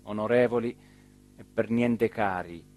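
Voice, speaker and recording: An actor playing a weary, brooding village man in a theatrical monologue, speaking solemnly and deliberately with dramatic pauses. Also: on the low side, a little mellow, lightly acted. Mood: declamatory, bitter. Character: weary, brooding village man, mayoral candidate addressing voters. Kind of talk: theatrical monologue, literary narration.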